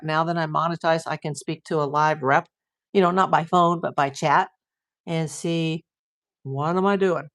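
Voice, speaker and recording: A middle-aged woman hosting a casual livestream, chatting and reading viewer comments while losing her voice. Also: fairly low pitch. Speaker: livestream host.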